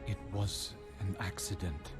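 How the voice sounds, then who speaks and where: An actor playing an earnest, defensive fighter in a dramatic game cutscene. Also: male voice, sits low, unhurried. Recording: loud music under the voice.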